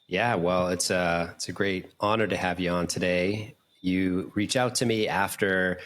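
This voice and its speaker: A man with a fairly low voice hosts an interview podcast. He talks in an earnest, encouraging manner and is effusive with his listeners and guest. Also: fairly even intonation, a little slow, fairly bright tone. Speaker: podcast host. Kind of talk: podcast intro and interview talk.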